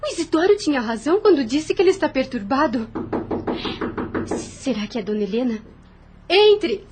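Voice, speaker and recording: Audio novel role: young plantation mistress , female actor, Brazilian accent, high voice, earnest, formal and uneasy. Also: very animated, normal speed, over music. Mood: troubled, reflective. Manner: earnest, hesitant when uneasy. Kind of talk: audio drama acting.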